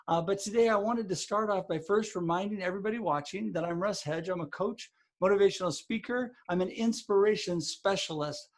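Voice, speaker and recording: A man's voice: sits high, fairly bright tone. A man hosting a podcast, upbeat and promotional as he introduces his guests. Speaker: podcast host.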